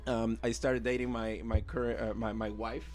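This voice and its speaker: A man's voice: mid-range voice, flat delivery, moderate pace, darkish tone, faint music behind. A man in his thirties with a Venezuelan accent, a native Spanish speaker, casually telling his own story as an interview guest. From Caracas, Venezuela. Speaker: interview guest, illustrator and art teacher.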